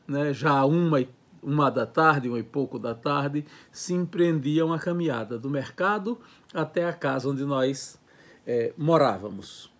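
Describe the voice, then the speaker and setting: Older man, reminiscing lecture, bookshelf study, earphone mic. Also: a little high. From Brazil. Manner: lively, gestures with hands, listing words in quick runs. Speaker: educator. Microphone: earphone mic, webcam framing.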